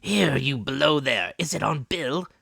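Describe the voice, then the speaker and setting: Blind old pirate villain , acted by a male reader: raised, shouted pitch, commanding, vicious, storybook adventure. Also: measured pace, gravelly, breathy edge, dry recording, clean studio sound, full character voice. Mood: furious, commanding. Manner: shouting, barking orders. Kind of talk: dramatic audiobook character voice.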